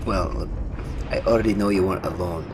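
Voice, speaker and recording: An actor playing a gruff, wary British Royal Marines sergeant in an audio drama, slangy and commanding. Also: male voice, low voice, fairly quick, background noise, lightly acted. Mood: intent.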